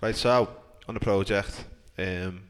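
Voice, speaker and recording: A man's voice: fairly low pitch, fairly even intonation, takes it slowly. A young man hosting a casual chat with his bandmates, asking questions and teasing them. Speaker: host, band guitarist.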